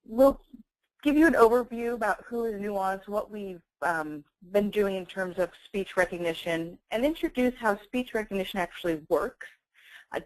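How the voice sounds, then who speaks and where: A woman's voice: mid-range voice, dry recording, rough recording. A woman giving a company webinar presentation, explaining things in a conversational way.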